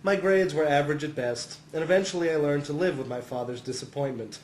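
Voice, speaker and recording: Amused higher-voiced man reading in echoey room. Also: fast, a little mellow, a little hiss or hum. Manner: amused, often chuckling as he reads. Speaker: reader of a humorous essay. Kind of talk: scripted first-person comic narration.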